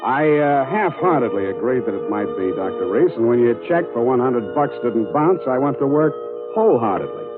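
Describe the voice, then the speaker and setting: Dull-sounding old radio private eye, deadpan man acting. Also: mid-range voice, fairly quick, over music, lightly acted. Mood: wry narrating. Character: wry, cool male private detective. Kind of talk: 1940s radio detective acting, narrating and in dialogue.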